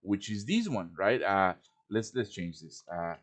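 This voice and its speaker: Man casually hosting a live software tutorial. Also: deep voice, animated, a little slow. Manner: informal, thinking aloud while working. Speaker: tutorial host. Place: live stream over voice chat.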